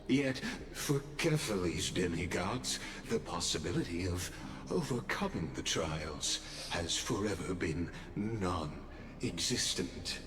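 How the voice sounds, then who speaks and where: An actor playing a calm, scheming male oracle in a game cutscene, with measured, grandiose delivery. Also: deep voice. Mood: solemn. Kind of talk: voiced game cutscene dialogue.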